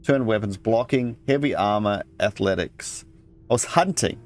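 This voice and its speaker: A man's voice: lowish voice, normal speed. A man hosting a let's play, casually thinking aloud and reading game text as he goes. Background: game music.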